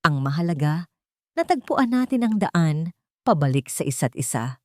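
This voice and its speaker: A woman voicing a gentle, forgiving young wife and mother in a romantic audio story, affectionate in manner. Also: deep voice, big pitch swings, moderate pace, no room sound, studio quality. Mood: tender, forgiving.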